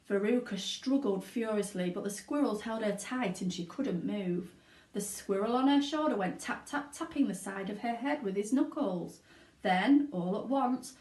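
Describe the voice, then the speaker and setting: A woman narrating a children's storybook aloud in an expressive reading style. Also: medium pitch. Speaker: teaching assistant reading aloud. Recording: echoey room.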